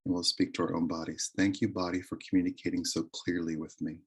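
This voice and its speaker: A man leading a guided meditation with prayer and affirmations, speaking in a soothing, deliberate, reverent way. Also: deep voice, level tone, slightly rough. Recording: live recording.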